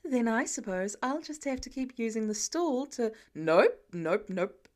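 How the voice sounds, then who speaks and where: A woman's voice: on the high side, expressive, a little slow, a little mellow. A woman voicing a firm, affectionate, wisecracking father in an audiobook-style fan fiction reading. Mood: playful, bossy. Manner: firm, casual, teasing.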